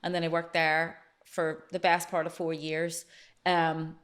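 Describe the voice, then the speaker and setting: Woman, late 40s, chatty storyteller, slightly low voice, interview guest. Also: monotone, fairly bright tone. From Northern Ireland. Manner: chatty, fast-flowing storytelling. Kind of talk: casual podcast interview.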